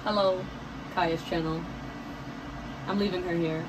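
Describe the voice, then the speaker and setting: A woman's voice: lowish voice, steady tone, unhurried. A young woman chatting casually in a teasing, candid way, picked up by a phone in a small office. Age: young adult. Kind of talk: casual chat. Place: small cluttered indoor back office. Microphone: phone camera nearby. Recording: noisy phone video recording.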